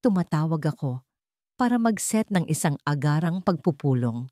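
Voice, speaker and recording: A woman voicing a cold, formal male lawyer on a phone call in an audio story, speaking in a measured way. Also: sits low, lively intonation, warm tone, no room sound, clean studio sound.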